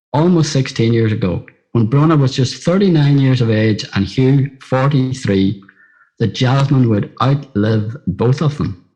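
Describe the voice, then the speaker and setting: A man's voice: medium pitch, darkish tone, poor audio. A middle-aged man with a Northern Irish accent reads his own scripted personal story in a simple, plain-spoken storytelling manner. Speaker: writer reading own story.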